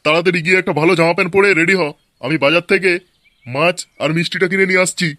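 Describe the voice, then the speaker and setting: Man voicing a high-pitched brash cartoon dad. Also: fairly even intonation, very fast, bright, forceful tone. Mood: brisk, teasing. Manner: crude, sarcastic, blustering village-comedy banter. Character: brash, stingy, flirtatious middle-aged Bengali husband and father. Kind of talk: comic cartoon dialogue.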